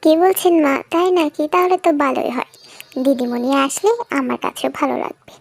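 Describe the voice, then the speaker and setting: A woman's voice: sits high, brisk, gravelly, dry recording. A woman voicing a dutiful, chirpy young daughter-in-law in a cartoon fairy tale. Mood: eager, obliging.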